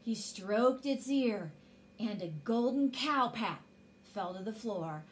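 A woman's voice: fairly high pitch, expressive, slow, slight background noise. A woman in her forties reading a children's story expressively as a storyteller, indoors.

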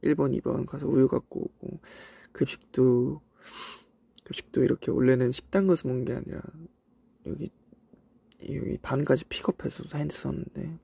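A young Korean man from South Korea with traces of a Cheongju accent, chatting casually on a livestream and reminiscing in a relaxed way with soft asides. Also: medium pitch, normal speed, vocal fry, husky, no echo. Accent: Cheongju dialect traces in Korean. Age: young adult. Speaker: idol livestream host. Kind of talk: casual livestream chat reading comments. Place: indoors, voice-only stream.

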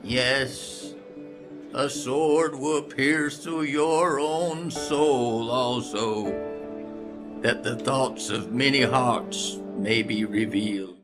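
An actor playing a devout, awed old temple prophet in a Bible audio drama, speaking reverently and declaiming. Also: male voice, medium pitch, drawn-out words, music in the background. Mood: solemn prophecy.

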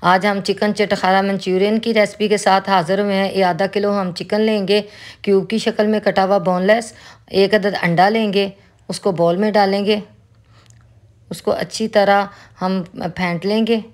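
A woman with a Pakistani Urdu accent, calmly explaining a recipe step by step as a cooking host. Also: mid-range voice, normal speed. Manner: calm, instructive, with religious greetings. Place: home kitchen.

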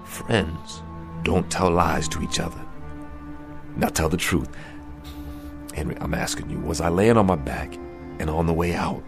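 An actor playing a bewildered, weary, earnest aging boxer in a radio drama, speaking haltingly and colloquially. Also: male voice, low-pitched, gravelly, music in the background. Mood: insistent, troubled. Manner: halting, colloquial, repeating himself. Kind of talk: modern radio drama acting.

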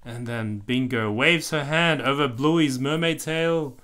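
A man's voice: mid-range voice, measured pace, fairly bright tone. A man reading a picture book aloud to a small child, explaining the pictures and asking questions in casual asides.

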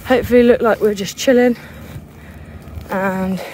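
A woman's voice: medium pitch, fairly even intonation, a little slow, a little mellow, a little hiss or hum, rough recording. A young woman chatting casually to her camera while wild camping on a mountainside, laughing often. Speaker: vlogger. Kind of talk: casual outdoor vlog narration. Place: outdoors on a mountainside by a lake. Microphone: handheld camera selfie.

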